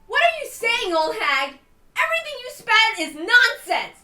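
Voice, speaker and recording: A woman voicing a furious, lovestruck teenage girl defying her elders, strained and shouting in a dramatic visual novel reading. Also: extremely high pitch, big pitch swings, a little slow, roomy sound, voice pushed up, exaggerated voice. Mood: furious, defiant.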